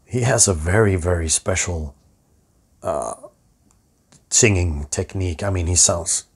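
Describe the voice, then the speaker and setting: A middle-aged man with a hoarse voice, rambling and swearing through a casual rant about his music to the camera. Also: sits low. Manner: rambling, profane, self-interrupting. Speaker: metal musician and vlogger.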